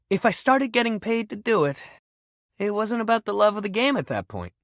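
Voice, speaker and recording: A man's voice: a little high, a bit bright, no room sound, studio quality. An actor playing an upbeat, friendly but sensitive young male sports jock in a video game, talking in casual, emphatic bro speak. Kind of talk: video game character dialogue.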